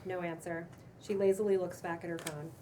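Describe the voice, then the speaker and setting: A woman reading screenplay stage directions aloud in plain, measured narration. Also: lowish voice, little pitch movement, dark tone. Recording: distant mic, noisy room.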